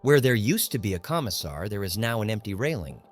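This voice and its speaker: A man narrating a literary audiobook in a grave, measured, dramatic manner. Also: lowish voice, big pitch swings. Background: faint music.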